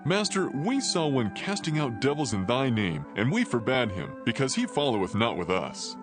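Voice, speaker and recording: A man's voice: mid-range voice, sing-song, moderate pace, music in the background, lightly acted. An actor playing a zealous, earnest male disciple, eagerly and formally addressing his master in a dramatized scripture reading.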